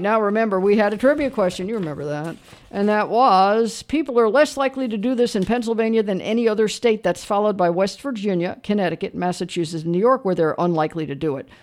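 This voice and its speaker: A radio host runs a call-in show, chatty and upbeat. The voice sits in a middle pitch and keeps tossing out quick affirmations. It moves briskly between callers.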